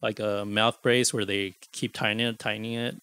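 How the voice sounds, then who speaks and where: A man's voice: on the low side, little pitch movement, a little fast, pushed and bright, clear, resonant tone, no room sound. Attentive male podcast host asking questions. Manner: attentive, brief prompts and curious follow-up questions. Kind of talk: interview questions and backchannel.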